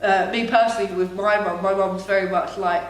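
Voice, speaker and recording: A woman's voice: medium pitch, steady tone, brisk, distant mic. A young woman chatting on a podcast, thinking aloud in a reflective way. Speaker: podcast co-host, actor. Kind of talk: casual chat. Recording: very echoey room, faint noise.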